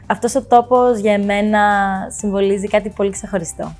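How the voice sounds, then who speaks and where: Woman, even flat delivery, slow and earnest, light music behind. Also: mid-range voice. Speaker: team member. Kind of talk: scripted video introduction.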